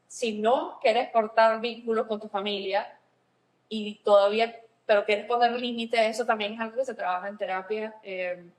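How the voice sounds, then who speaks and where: A woman's voice: mid-range voice, low-quality recording. A woman with a Nicaraguan Spanish accent, explaining things conversationally in a semi-professional register as a therapist and podcast co-host.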